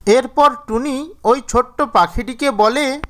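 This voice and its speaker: A woman storyteller reading scripted narration for a children's animated fairy tale. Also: sits high, slow pace.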